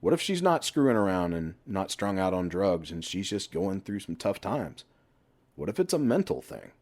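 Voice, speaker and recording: A man's voice: deep voice, big pitch swings, normal speed, a little mellow, heavily acted. A man voicing a troubled middle-aged husband in an audiobook story, earnest and easily exasperated. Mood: troubled, hoping.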